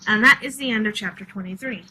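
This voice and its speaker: A woman reading a novel aloud in an expressive voice, then chatting casually with laughs and some swearing. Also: medium pitch, fast talker, slight background noise. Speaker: reader.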